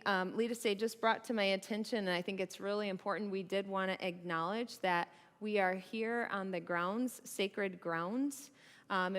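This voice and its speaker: A woman pastor hosting a livestreamed church forum, chatty and friendly with light laughs. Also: medium pitch. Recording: long hall reverb.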